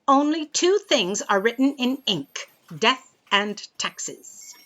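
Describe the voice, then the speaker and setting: Scatterbrained female chief: slow, dramatic, highly animated, fairly high voice. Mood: vague, sympathetic. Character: muddled, forgetful, tender-hearted female boss.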